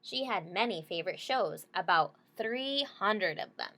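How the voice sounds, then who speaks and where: A woman reads a picture book aloud to young children at a middling pitch. She breaks off for casual, simple explanations and often checks in with short tag questions. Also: lively intonation, a little slow, a bit bright. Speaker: storybook reader for children.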